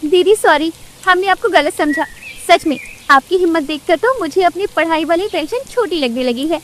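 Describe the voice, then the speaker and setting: A young college student fretting about exams, as a woman plays her. The voice sits very high and bounces around a lot. It is a storybook cartoon voice. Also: expressive, fairly bright tone, a little hiss or hum. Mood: apologetic. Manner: dismissive at first, quick to apologize.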